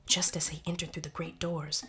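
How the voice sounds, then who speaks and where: A woman's voice: deep voice, level tone, a little fast. A woman narrating a folktale expressively, as in a storybook read-aloud. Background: soft music.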